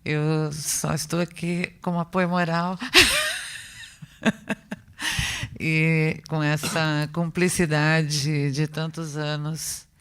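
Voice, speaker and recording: Slow, gravelly woman laughing through remarks. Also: sits low, little pitch movement, very noisy. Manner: slow and hesitant, laughs often. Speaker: seminar co-organizer. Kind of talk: brief informal remarks at a seminar. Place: museum seminar hall.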